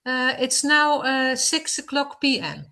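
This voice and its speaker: A woman with a high, bright voice. She chats casually, halting now and then to find words in a language that is not her first. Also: very animated. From Netherlands. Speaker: session participant. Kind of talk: casual chat.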